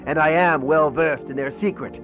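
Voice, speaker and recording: A man's voice: on the high side, close to an everyday voice. Man acting a muffled, protective villager. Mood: wary, defiant. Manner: formal, deliberate, stagey phrasing. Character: protective, sceptical male villager, boastful and defiant. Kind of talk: radio horror play acting. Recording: muffled lo-fi radio play, music underneath. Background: music.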